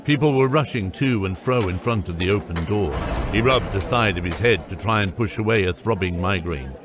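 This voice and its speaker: A man's voice: sits low, normal speed. A man reading scripted narration for a fantasy audiobook. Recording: loud music under the voice. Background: music.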